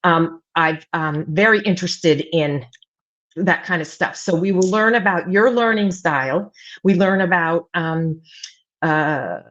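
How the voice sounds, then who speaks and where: A woman's voice: fairly low pitch, measured pace, a bit bright, dull audio. A middle-aged woman who grew up in the United States, a writing coach talking casually as a guest, rambling with many fillers and restarts. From United States, mostly Virginia Beach. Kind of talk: casual interview talk.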